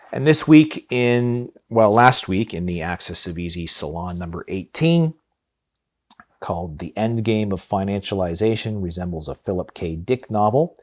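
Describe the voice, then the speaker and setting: A man hosting a podcast, reading tech news from a script with casual, opinionated asides. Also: low-pitched.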